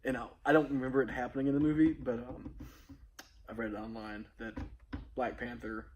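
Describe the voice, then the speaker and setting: A man's voice: mid-range voice, little pitch movement, brisk, darkish tone, a little hiss or hum. A young man as a guest in a casual movie chat, laid-back and laughing often.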